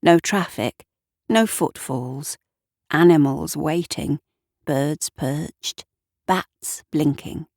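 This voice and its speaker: Woman, fiction narrator, lowish pitch, very slow delivery, wry asides, dry studio sound. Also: darkish tone, no echo. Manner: wry, conversational asides to the listener. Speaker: storyteller narrating audio fiction. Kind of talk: dramatic scripted narration with character dialogue.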